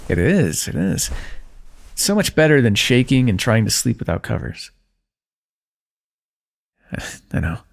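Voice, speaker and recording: A man performing an intimate fantasy roleplay for ASMR, speaking softly in a teasing, affectionate way. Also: lowish voice, sing-song, a little slow, creaky, long pauses. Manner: soft, teasing and affectionate, with frequent light laughs.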